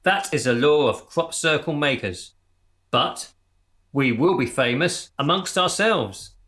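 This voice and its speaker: An actor playing a grandiose leader of an amateur crew, speechifying with pompous passion in a radio comedy drama. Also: male voice, a little high, lively intonation, unhurried, fairly bright tone. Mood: grandiose, excited.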